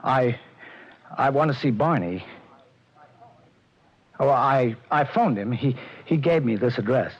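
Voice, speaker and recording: Brief: husky, stammering, nervous businessman, lively pitch, vintage radio. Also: takes it slowly, long pauses. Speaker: narrator and lead. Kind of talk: 1940s radio drama acting. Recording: dull lo-fi old radio broadcast.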